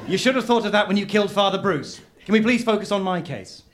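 Lively, pompous writer. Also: male voice, sits high, quick pace, background noise. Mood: earnest, impatient. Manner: hesitant, pompous, earnest. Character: self-important, misunderstood male writer, earnest and petulant. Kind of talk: live audio comedy drama acting. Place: live on stage at a festival venue.

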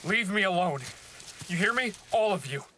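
A man's voice: high-pitched, lively intonation, moderate pace, background noise. A man playing a wary, bitter young outsider in an audio drama, edgy and confrontational. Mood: angry.

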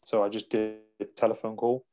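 A man's voice: on the low side, flat delivery, brisk, dark tone, soft background music. Rambling podcast host, man. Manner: rambling, many fillers and restarts. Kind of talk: casual interview chat.

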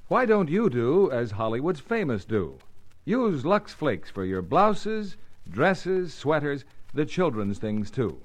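Animated American male radio announcer. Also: slow. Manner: polished, sales-pitch delivery. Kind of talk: scripted 1950s radio announcing and ad copy.

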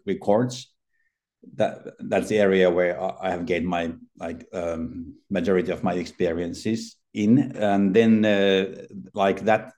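A man's voice: on the low side, a little mellow. A middle-aged man from Finland, speaking as a podcast guest in a hesitant way, with many fillers and restarts. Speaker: podcast guest, healthcare consultant. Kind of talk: casual interview talk.

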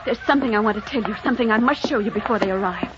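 A woman's voice: a little high, very fast, lightly acted. An actress playing an earnest, secretive young woman in love in a melodramatic 1940s radio drama. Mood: urgent. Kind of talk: 1940s romantic radio acting. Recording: muffled old radio recording, noisy.

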